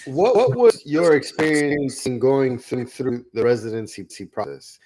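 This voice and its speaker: A man co-hosting a podcast speaks with a lively, swinging intonation. His words stop and restart as he goes. His mic sounds off at a distance, with clear background noise under him. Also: mid-range voice, measured pace. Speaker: podcast co-host. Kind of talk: casual interview chat.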